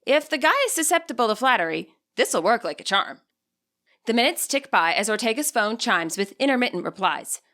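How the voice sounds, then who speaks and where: Female audiobook narrator, high and bright, expressive storytelling with lively intonation. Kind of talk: scripted story narration, present tense.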